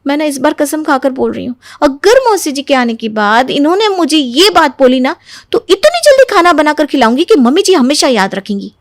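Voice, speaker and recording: A woman plays a young daughter-in-law in a cartoon moral tale, exasperated and ready to talk back. Her voice is clearly high, with a pert, aggrieved edge. The sound is very dry. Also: very animated, fairly quick, no echo. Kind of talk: Hindi animated moral story acting.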